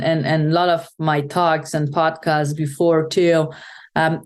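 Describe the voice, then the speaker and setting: A woman from Afghanistan with an Afghan accent, giving an earnest podcast interview, halting and restarting often. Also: low-pitched, clear, resonant tone. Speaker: podcast guest, nonprofit founder.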